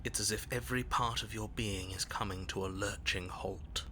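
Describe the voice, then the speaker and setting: A man voicing a grave, insistent narrator in a visual novel game, speaking in a measured, solemn and testy way. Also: fairly low pitch, fairly even intonation, pushed and bright, a little hiss or hum. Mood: ominous. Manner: measured, solemn, ominous, turning snippy. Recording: music behind the voice.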